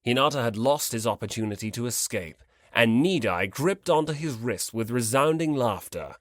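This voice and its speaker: An expressive fiction narrator with a medium-pitched voice. The reading moves with lively intonation, scripted and story-like, in a close, dry recording. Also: moderate pace, no echo. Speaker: audiobook narrator.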